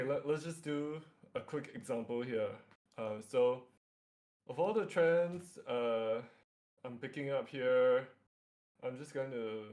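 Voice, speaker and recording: A man's voice: mid-range voice, darkish tone. A man from Singapore with a Singaporean accent, leading a workshop as an informal lecture through slides, with hesitant phrasing and frequent check-in tags. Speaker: workshop facilitator.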